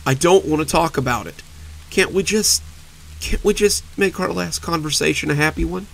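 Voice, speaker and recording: A man voicing a frightened young wife whose high, overly sweet voice keeps breaking as she holds back tears. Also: wildly swooping pitch, a little slow, vocal fry, bright, forceful tone, slightly rough, exaggerated voice, low-quality recording. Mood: tearful.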